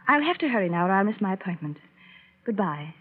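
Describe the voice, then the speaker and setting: Resolute young sweetheart, medium pitch, earnest theatrical delivery, phone-thin 1940s radio recording. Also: female voice, very animated, quick pace, narrow, tinny audio. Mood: firm, guarded. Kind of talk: 1940s radio drama acting.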